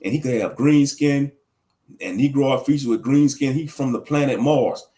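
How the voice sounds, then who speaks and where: A man's voice: medium pitch, moderate pace, dark tone. A man talking casually on a livestream, rambling and preachy in a slangy way, answering the chat and laughing at his own jokes. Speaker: livestream commentator.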